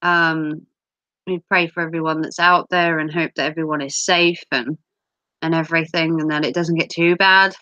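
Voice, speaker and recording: A woman from the UK with a cold, wheezy and clearing her throat, chatting casually and rambling on a livestream with self-mocking jokes. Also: low-pitched, steady tone, moderate pace, bright, forceful tone, dry recording. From United Kingdom. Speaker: livestream host. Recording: dull lo-fi sound.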